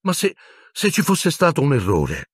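A man's voice: on the high side, huge pitch swings, normal speed, slightly rough, dry recording, studio quality, voice pushed up, full character voice. A man voicing a frightened, anxious teenage schoolgirl in a storybook reading, whimpering and panicky. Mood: timid doubt.

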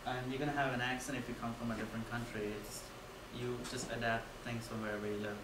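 A young man from India gives a casual class talk, full of fillers, in a flat, even voice. He stands well away from the camera in a roomy, echoing classroom, with a steady hiss under him. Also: mid-range voice, quick pace. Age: young adult. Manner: casual, filler-heavy, loose phrasing. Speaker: student presenter. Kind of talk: class presentation. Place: dim classroom with projector. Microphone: far camera. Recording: echoey room from far off with noise.

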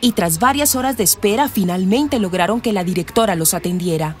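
A woman's voice: medium pitch, a little fast. Woman narrator over music. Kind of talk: scripted radio drama narration.

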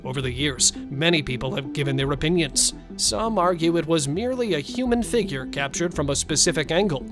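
Male narrator over soft music. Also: fairly high pitch, lively intonation, brisk. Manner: dramatic storytelling with rhetorical questions to the viewer. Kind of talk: scripted narration.

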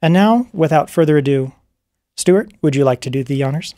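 A man's voice: fairly high pitch, fairly quick, warm tone, no echo, studio quality. A man giving a polite, formal scripted introduction as producer and host of a radio drama. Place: radio studio, live broadcast.

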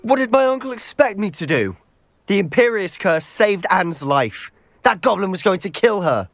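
An actor playing a defiant, proud teenage wizard in a game cutscene, insistent and emphatic. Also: male voice, sits high, very animated, moderate pace. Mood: defensive. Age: teens. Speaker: game character. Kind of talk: video game cutscene acting. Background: music.

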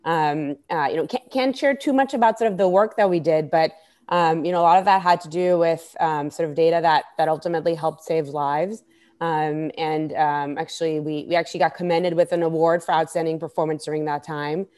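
A woman's voice: deep voice, fairly even intonation, a little fast, a little mellow. A woman in her thirties from Israel answering conversationally as an interview guest on a video call, with frequent hesitant fillers. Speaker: interview guest, startup founder. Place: indoor room, video call. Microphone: earphone mic on video call.

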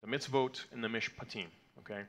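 A man's voice: mid-range voice, fairly even intonation, brisk, a bit bright. A man in his thirties teaching a weekly Torah portion to a congregation from a lectern, explaining terms patiently with frequent fillers and "okay?" check-ins. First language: not Hebrew. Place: sanctuary, mid-size indoor hall.

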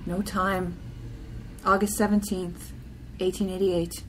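A woman's voice: on the low side, level tone, slow pace, crowd noise, poor audio. A woman giving a scripted poetry reading in short, separate phrases.

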